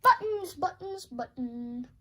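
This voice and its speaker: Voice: sits high, lively intonation, slow, pushed and bright. A young reader playfully reading a children's picture book aloud and putting questions to the listener. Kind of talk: children's storybook read-aloud with sung refrains.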